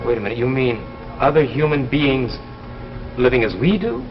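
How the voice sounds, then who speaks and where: Wanted: male actor for an indignant, incredulous everyman, swinging pitch, 1950s sci-fi drama, dull old broadcast sound with music behind. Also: sing-song, normal speed, natural voice. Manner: agitated, incredulous, protesting. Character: skeptical, indignant ordinary man recruited for secret mission. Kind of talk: 1950s science fiction anthology drama acting.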